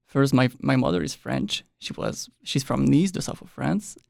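Young guy from mexico, super gravelly, laid back, lots of "like", dry close mic. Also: medium pitch, normal speed, dry recording. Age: young adult. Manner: relaxed, loose with frequent "like" and quiet trailing ends. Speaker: exchange student guest. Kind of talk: casual interview chat.

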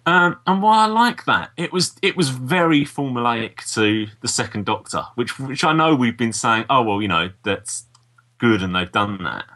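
A man's voice: medium pitch, big pitch swings, moderate pace, sharp tone. A man talking casually as co-host of a review podcast. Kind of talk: casual review chat about audio dramas.

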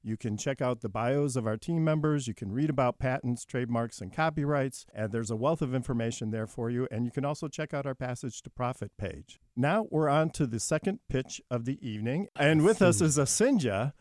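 A man's voice: medium pitch, fairly quick, few pauses, a little mellow, no echo, studio quality. A man hosting a radio show, addressing listeners and guests.

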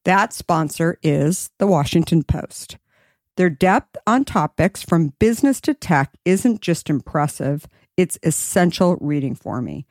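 A woman's voice: animated, unhurried, a little mellow, dry recording, clean studio sound. Upbeat woman host reading an ad. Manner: upbeat, persuasive sales pitch. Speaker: podcast host. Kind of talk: read ad.

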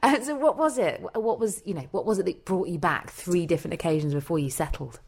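A woman's voice: on the low side, quick pace, breathy edge. A woman hosting a podcast in a casual, chatty interview style, with a playful, teasing manner. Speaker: podcast host. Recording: music behind the voice.

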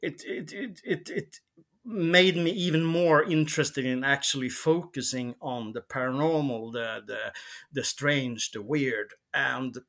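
A man's voice: mid-range voice, measured pace, a bit bright. A middle-aged man with a Swedish accent, a native Swedish speaker, talking casually as a podcast guest and often repeating words as he searches for a phrase. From Sweden. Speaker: guest, television producer and researcher.

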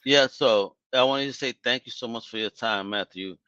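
A man hosting a podcast, chatting casually and excitedly with lots of stammered restarts and slang. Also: mid-range voice, normal speed, clear, resonant tone, no echo, dull audio. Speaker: podcast host. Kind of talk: casual interview wrap-up.

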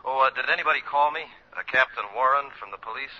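An actor playing an affectionate husband, casual and tender, in 1950s radio drama style. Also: male voice, mid-range voice, quick pace, bright, forceful tone. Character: affectionate, weary husband phoning home from work. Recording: muffled old radio broadcast.

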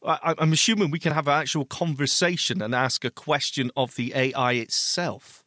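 A man's voice: mid-range voice, fairly even intonation, moderate pace, pushed and bright, no echo, clean studio sound. A man co-hosting a podcast, discussing tech news in a hesitant way with many restarts and fillers. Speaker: podcast co-host. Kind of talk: casual tech news chat.

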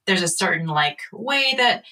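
Bright-toned woman, reflective podcast talk. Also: fairly low pitch, lively intonation, unhurried, far from the mic. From Los Angeles, US. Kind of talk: casual podcast chat.

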